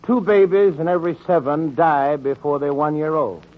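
Man playing earnest clergyman, high voice, formal declamatory pleading, old radio play, dull vintage sound. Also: steady tone, normal speed, natural voice. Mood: urgent, grave pleading. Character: earnest, urgent clergyman pleading for his community. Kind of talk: 1940s radio drama acting.

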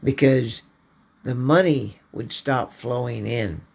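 Male podcast host, hoarse and slow, rambling political monologue. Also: medium pitch. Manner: slow, halting delivery with frequent throat clearing.

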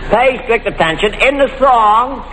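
High-voiced, swooping old-time radio comedy host, man. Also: lively intonation, unhurried. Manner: showy introductions, dry wisecracking asides. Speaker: comic quiz show host. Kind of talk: 1940s radio comedy hosting. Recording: noisy old radio recording, echoey hall with audience.

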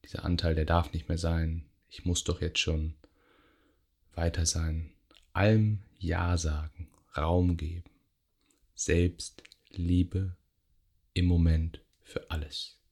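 A man guiding a yoga nidra meditation in a gentle, unhurried way, pausing between short phrases. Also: sits low, level tone, gravelly. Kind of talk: guided meditation, soothing relaxation instruction.

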